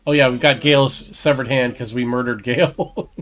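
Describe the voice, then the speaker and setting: A man's voice: mid-range voice, steady tone, normal speed, fairly bright tone. A man hosting a gaming video, giving casual commentary on his playthrough. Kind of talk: casual game playthrough commentary, reading choices aloud. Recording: faint game music behind. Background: game music.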